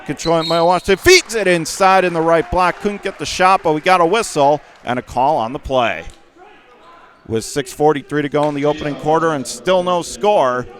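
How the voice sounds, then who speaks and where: A man calling high school basketball play-by-play for radio, rapid and rising to shouts on big plays. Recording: radio broadcast call, ball bounces behind.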